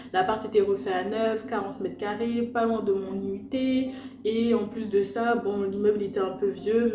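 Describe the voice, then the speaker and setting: A young woman from French Guiana chats through a storytime, slangy and loose, with plenty of fillers and little asides to her viewers. Her voice sits at an ordinary middle pitch. It bounces around an echoey room while loud music plays behind her. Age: young adult. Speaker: vlogger telling a personal story. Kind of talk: casual storytime chat.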